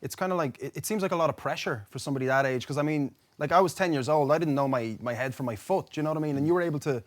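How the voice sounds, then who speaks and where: Quick enthusiastic interviewer man. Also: on the high side. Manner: enthusiastic, lots of praise and backchannel. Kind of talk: casual interview.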